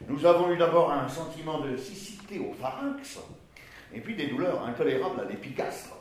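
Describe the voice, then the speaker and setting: A man's voice: a little high, animated, moderate pace, lightly acted. Man playing fussy, pompous pharmacist, very echoey. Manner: pompous, apologetic, learned wording. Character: fussy, pompous small-town pharmacist at table. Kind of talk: dramatic reading of a novel. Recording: very echoey room with faint noise.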